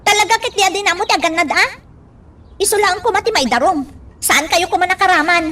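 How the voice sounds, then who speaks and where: Actress as young protective daughter, extremely high pitch, exasperated, dramatic, Ilocano radio drama. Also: female voice, quick pace, bright, forceful tone. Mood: exasperated, concerned. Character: young daughter, annoyed yet protective of her mother.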